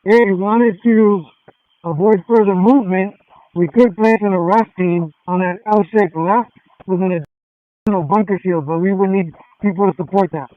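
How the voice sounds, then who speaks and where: A man working as a police tactical officer, giving clipped, procedural radio traffic with hesitant pauses over a tinny scanner. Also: sits high, steady tone, no echo.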